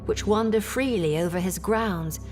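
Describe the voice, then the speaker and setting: An actress playing a troubled young Victorian gentlewoman, telling her story formally in an audio drama. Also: mid-range voice, moderate pace, faint music behind, natural voice. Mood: troubled, sighing. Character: distressed Victorian English gentlewoman recounting family misfortune. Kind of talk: period audio drama acting, narrative monologue.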